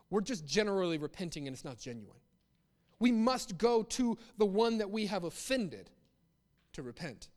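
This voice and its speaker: A man delivers a sermon in a notably high voice, with long reverb trailing his words. He talks conversationally yet with emphasis, restating phrases to drive each one in. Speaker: preacher. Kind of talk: sermon with acted-out example quotes.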